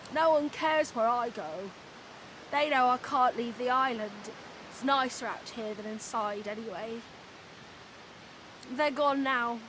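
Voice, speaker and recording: A woman's voice: sits high, background noise. A woman voicing a lonely, guarded little boy in a video game, plain-spoken and innocent. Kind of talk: video game character dialogue.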